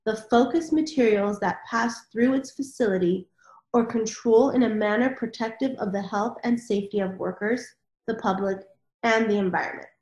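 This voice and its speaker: A woman's voice: a little high, darkish tone. A woman moderating a panel discussion and reading out formal requirements. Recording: roomy, echoing sound.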